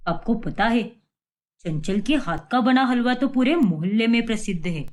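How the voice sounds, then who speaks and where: A woman voicing a friendly, proud middle-aged father-in-law who boasts warmly. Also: on the high side, animated, moderate pace, close to an everyday voice.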